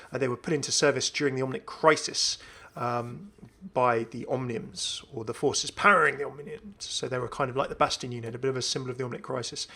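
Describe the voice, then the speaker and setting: A man's voice: medium pitch, fairly bright tone. A young man giving casual, enthusiastic commentary on a video game. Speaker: gaming video host.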